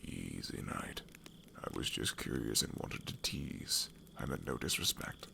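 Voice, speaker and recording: A man playing an older, wry and worldly wanderer in a fantasy ASMR audio roleplay, with a gravelly, knowing delivery. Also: very deep voice, animated, unhurried, sharp tone, heavily acted. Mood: calming.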